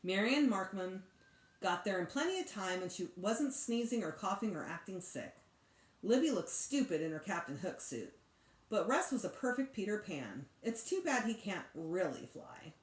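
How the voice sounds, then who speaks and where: A woman reading a children's story aloud in an expressive storytelling style, like a teacher. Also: medium pitch, normal speed. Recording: roomy echo.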